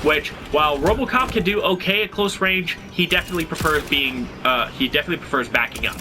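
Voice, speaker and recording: A man's voice: a little high, expressive, fast, background noise. A man with a slightly stuffy nose, hosting a tutorial and talking through fighting game moves in a casual, analytical way. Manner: analytical, conversational, thinking aloud. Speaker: video game guide host. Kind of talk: gameplay tutorial commentary.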